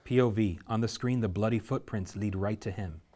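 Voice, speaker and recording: A man narrates the action lines at a script table reading. His voice sits low, and he reads with steady, vivid pacing. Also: a little fast. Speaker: narrator reading stage directions.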